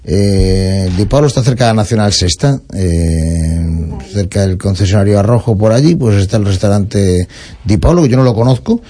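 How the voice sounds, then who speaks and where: A man's voice: lowish voice, lively intonation, moderate pace, warm tone. A man co-hosting a local radio show, chatting casually, often stalling and repeating words. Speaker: radio co-host.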